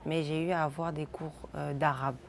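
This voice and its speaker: Low-voiced woman, Arabic native. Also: level tone, normal speed, vocal fry, a little hiss or hum. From Côte d'Ivoire. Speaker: interviewee. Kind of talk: street interview answer.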